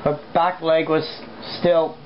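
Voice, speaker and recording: An amateur narrator reads a story aloud casually, at a middling pitch. The reading is broken by irreverent, swearing asides about slip-ups. The sound is dull and lo-fi, with faint noise behind it. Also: flat delivery, takes it slowly. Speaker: amateur fan fiction reader. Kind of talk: casual read-aloud with side remarks. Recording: dull lo-fi home recording, background noise.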